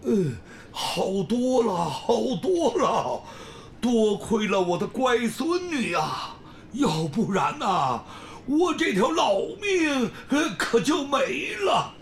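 An actor playing an ailing, grateful old village grandfather in a radio drama, halting and sighing. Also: very high-pitched, big pitch swings, slight background noise, full character voice. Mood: grateful, sorrowful. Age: older.

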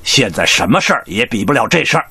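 A man's voice: medium pitch, very animated, quick pace, creaky, sharp tone, husky, no room sound, full character voice. A man acting an earnest, resolute overseas Chinese businessman in a radio drama. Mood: resolute, warm.

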